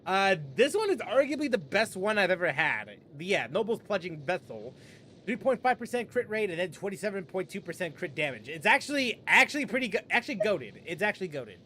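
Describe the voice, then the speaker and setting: A man's voice: high-pitched, very animated, brisk, sharp tone, slight background noise. A man streaming a video game, chatting casually with viewers and rambling with frequent laughs. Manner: rambling, laughs often, restarts sentences. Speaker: gaming streamer. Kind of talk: casual live-stream commentary.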